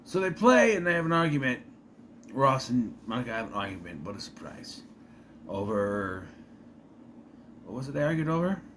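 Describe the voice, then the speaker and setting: A man's voice: mid-range voice, very animated, normal speed, long pauses, a bit bright, slight background noise. A man casually recapping a sitcom episode, rambling, correcting himself and briefly putting on the characters' voices. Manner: rambling, self-correcting, opinionated asides; briefly mimics characters while retelling. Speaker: show recap vlogger.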